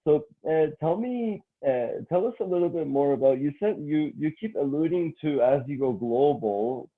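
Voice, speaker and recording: Canadian host over a tinny line. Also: male voice, on the high side, animated, normal speed. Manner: summarizing and framing questions, repeated starts. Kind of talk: online interview.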